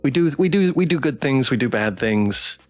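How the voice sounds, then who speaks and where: A man hosting a casual game livestream, reading text aloud and chatting with playful, expressive reactions. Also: medium pitch, moderate pace, soft background music. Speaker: game streamer and host.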